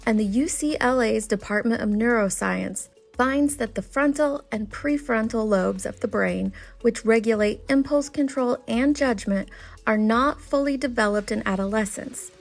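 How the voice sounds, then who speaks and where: A woman's voice: a little high, moderate pace, gravelly, soft background music. A woman hosting a commentary channel, reading a scripted voice-over in an earnest, critical way. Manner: reads from notes, critical and earnest.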